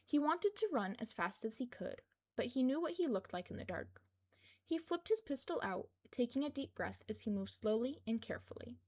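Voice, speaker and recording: High-voiced woman narrating fiction. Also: lively intonation, normal speed, darkish tone. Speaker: amateur audiobook narrator.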